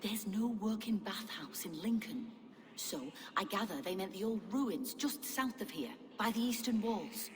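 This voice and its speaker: An actress playing a devoted, gently eerie medieval nursing sister in a video game, measured and courteous. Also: female voice, medium pitch, lively intonation, lightly acted. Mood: concerned. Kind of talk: dramatic video game acting. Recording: long hall-like reverb.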